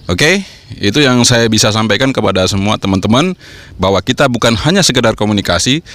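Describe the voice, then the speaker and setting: Low-voiced man coaching informally. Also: lively intonation, brisk, fairly bright tone, no echo. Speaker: amateur radio vlogger and trainer. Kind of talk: informal instructional vlog talk to camera.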